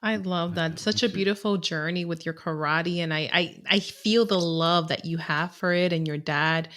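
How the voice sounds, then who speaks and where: A woman's voice: lowish voice, steady tone, moderate pace, a bit bright. A woman co-hosting a podcast interview, talking in a gushing, enthusiastic way. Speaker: co-host. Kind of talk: casual podcast chat.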